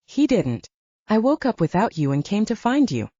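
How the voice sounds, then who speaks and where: A woman's voice: medium pitch, big pitch swings, moderate pace, warm tone, breathy edge, dry recording, dull audio, pitched above the performer's own voice. A woman voicing an easygoing, flirtatious male lover, teasing and unbothered, in an audiobook. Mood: casual.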